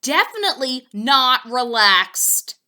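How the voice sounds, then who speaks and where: A woman voicing a fussy, easily rattled male animal from a storybook, with emphatic, drawn-out declarations. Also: sits high, sing-song, pushed and bright, pitched above the performer's own voice, full character voice. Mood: rattled, insistent.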